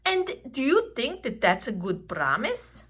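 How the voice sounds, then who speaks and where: Children's puppet show uncle, played by a woman: high-pitched, slow, kindly, instructive. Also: wildly swooping pitch, a bit bright, husky, full character voice. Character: Uncle Shmuley, kindly excitable teaching uncle puppet.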